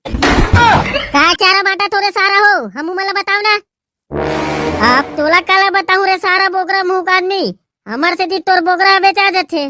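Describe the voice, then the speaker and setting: A man's voice: very high voice, unhurried, pauses often, background noise. A man voicing a hot-headed young village man in a comedy cartoon, blustering and trading insults with a Chhattisgarhi accent. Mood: teasing, boastful. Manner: blustering, insult-slinging banter. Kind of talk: comic cartoon dialogue. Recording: music bed under the dialogue.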